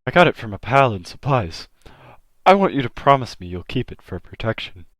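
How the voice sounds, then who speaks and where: A man plays a caring, worried father in a comic dub. His delivery is earnest and slightly hesitant, with very animated intonation. The sound is dry. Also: mid-range voice, brisk, no echo. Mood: concerned.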